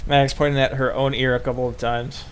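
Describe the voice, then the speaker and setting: Halting male narrator, medium pitch, faint noise. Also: flat delivery. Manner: halting, stumbles over the text. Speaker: narrator in a group playthrough. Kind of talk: unrehearsed read-aloud narration of visual novel.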